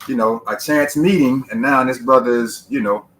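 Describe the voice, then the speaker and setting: A man hosts a podcast interview in an even, mid-range voice. He leans into his points with emphatic, repeated advice and plenty of "you know"s. He has an easy conversational style. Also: mid-range voice, moderate pace, a little hiss or hum, rough recording. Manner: emphatic, repetitive advice-giving. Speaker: podcast host.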